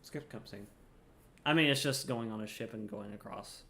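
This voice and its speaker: A man's voice: on the low side, normal speed, pushed and bright, slight background noise. A man giving casual, rambling livestream commentary over a game in a playful, chatty way. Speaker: game streamer.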